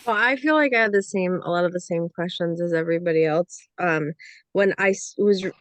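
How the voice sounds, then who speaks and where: A woman's voice: lowish voice, a little fast, dry recording. A woman giving informal feedback on a script as a panellist, speaking hesitantly and often dropping in "like". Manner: hesitant, peppers speech with "like".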